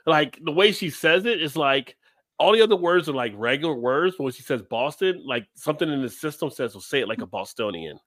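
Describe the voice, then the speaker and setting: A man in casual chat, laughing often and telling stories with animated energy. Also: on the high side, a little fast, no echo. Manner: laughs often, lively storytelling.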